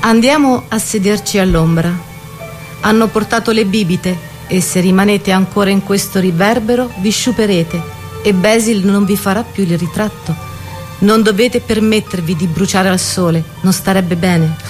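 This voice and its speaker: A woman as Lord Henry, persuasive aesthete over loud music. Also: medium pitch, normal speed, lightly acted. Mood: admonishing. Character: Lord Henry, worldly aesthete urging youth and pleasure. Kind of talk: literary dramatic reading.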